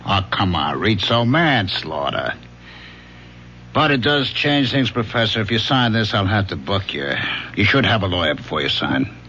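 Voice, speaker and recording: Brusque police lieutenant, forceful voice. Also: male voice, on the low side, big pitch swings, moderate pace, heavily acted. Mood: official, firm. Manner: brusque, blunt, world-weary. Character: gruff, no-nonsense police lieutenant, plain flatfoot. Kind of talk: old-time radio drama acting. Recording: dull lo-fi radio recording, faint hum.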